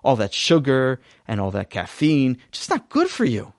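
A man's voice: medium pitch, moderate pace, no room sound, clean studio sound. Man voicing a weary, patient father. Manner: sighing, calm and patient.

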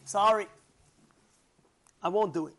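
Devout man voiced by a male storyteller, high-pitched, incredulous and immovable, emphatic repeated refusals. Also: slow pace, a bit bright, exaggerated voice. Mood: indignant refusal. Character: devout man, indignant, stubbornly refusing to sell his good deed.

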